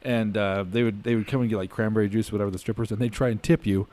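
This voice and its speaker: Fast-talking low-voiced man joking around. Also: steady tone, darkish tone. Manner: crude joking banter, laughs often. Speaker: podcast host. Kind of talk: casual chat.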